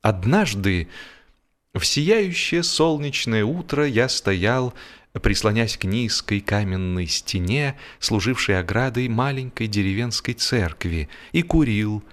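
A man narrating a humorous literary story in a theatrical, measured, mock-solemn way. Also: on the low side, fairly bright tone. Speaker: audiobook narrator.

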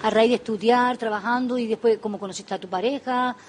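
A woman hosting a radio interview show. She talks in a warm, chatty, affectionate way. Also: on the high side, steady tone, a little fast, slight background noise. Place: local radio studio.

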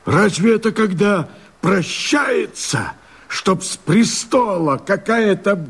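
An actor playing a fierce, brooding leader of a peasant revolt, declaiming verse theatrically in a husky voice. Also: male voice, on the high side, heavily acted. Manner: theatrical, impassioned, drawn-out declamation. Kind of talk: declaimed verse radio drama.

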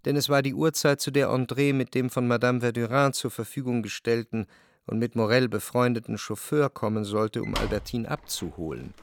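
An actor playing an introspective, analytical narrator in a literary radio drama, with a measured, reflective delivery. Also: male voice, mid-range voice, gravelly, no echo, lightly acted. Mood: reflective, detached. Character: introspective, analytical male narrator looking back on love.

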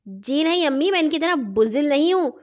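A woman's voice: high-pitched, expressive, pitched above the performer's own voice. A woman voicing a cheeky young boy in a storybook, boastful yet easily scared. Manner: cheeky, pleading.

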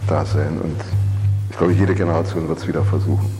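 A man's voice: rumbling low, steady tone, fairly quick, warm tone. A man speaking reflectively in an unscripted way. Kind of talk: reflective spoken remarks. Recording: long reverb, music underneath. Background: music.

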